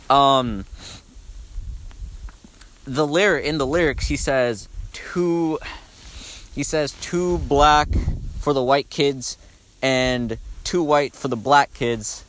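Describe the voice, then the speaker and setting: Clear-voiced young man rambling casually. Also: on the high side, a bit bright. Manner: blunt, informal, swears freely. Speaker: video host. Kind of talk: casual rambling talk about songs.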